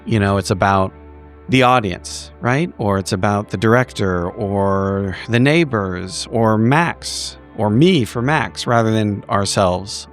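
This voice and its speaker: Anxious, deflecting frumpy woman voiced by a man, halting and explanatory, tabletop roleplay drama over soft music. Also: fairly low pitch. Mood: hesitant, confessional. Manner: halting, self-interrupting, explaining. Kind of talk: improvised in-character roleplay dialogue.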